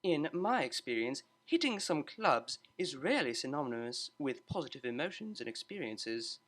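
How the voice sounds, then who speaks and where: A man plays a refined young mare from a storybook, a cellist with courteous manners. The voice is fairly high and expressive, with formal, carefully proper phrasing. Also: moderate pace. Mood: prim, reserved. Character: polite, refined young female pony cellist.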